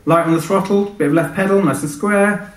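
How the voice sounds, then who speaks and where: A man's voice: sits high, fairly quick. A man, an author giving a live reading from his novel. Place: live event in a large venue. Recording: echoey hall, distant microphone.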